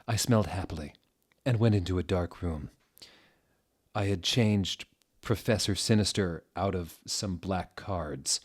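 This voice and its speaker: A man with a low voice reads fan fiction aloud as a theatrical narrator. He takes his time over the words, delivering them slowly and deliberately with mock-serious drama. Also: breathy edge.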